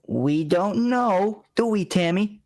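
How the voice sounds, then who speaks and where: A man's voice: high voice, lively intonation, slow, darkish tone, heavily acted. A man acts out a scheming young boy in an old-time radio play. He is bossy with his pals and slippery with grown-ups, talking in brash street slang. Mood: evasive. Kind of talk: vintage radio drama acting.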